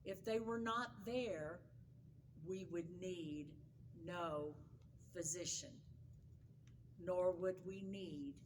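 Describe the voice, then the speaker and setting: A woman reading a scripted devotional in a measured manner, with dramatic pauses and emphasis. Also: lowish voice, slight background noise. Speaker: devotional reader, pastor's wife.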